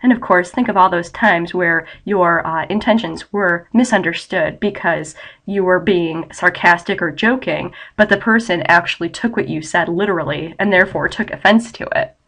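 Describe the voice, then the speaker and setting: A woman's voice: lowish voice, moderate pace, a bit bright. A young adult woman giving an online college English lecture while battling a head cold, sounding nasal and a bit scratchy, explaining steadily with frequent ums.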